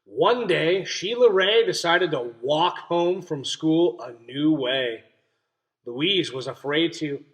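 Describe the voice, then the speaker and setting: A man's voice: a little high, animated, slow, rough recording. A man teaching young children through a picture book read-aloud, explaining simply and checking in with questions.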